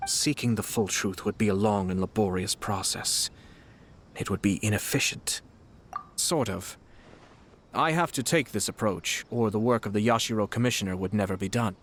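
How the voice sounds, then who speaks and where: A man playing a composed, shrewd young noble commissioner in a video game, speaking in a formal, polite, measured way. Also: fairly low pitch, fairly bright tone, slight background noise.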